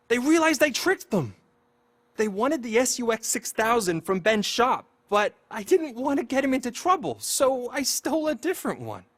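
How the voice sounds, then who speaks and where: A high-voiced, anxious teenage boy. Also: a bit bright, no echo, heavily acted. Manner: pleading, earnest, streetwise slang. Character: troubled teenage boy, petty thief, anxious and regretful. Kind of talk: video game cutscene acting.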